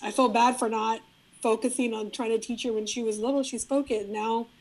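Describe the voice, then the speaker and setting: A middle-aged woman whose first language is Spanish, talking as a guest in a relaxed, reflective interview, often restarting her sentences. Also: on the high side, fairly quick, low-quality recording. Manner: reflective, frequent restarts and fillers. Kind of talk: casual interview conversation.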